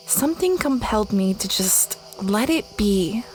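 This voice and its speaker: A woman's voice: medium pitch, lively intonation, unhurried, a little mellow, faint music behind, lightly acted. A woman playing a dreamy space explorer who recounts her adventures in a reflective, conversational way, as audio drama narration.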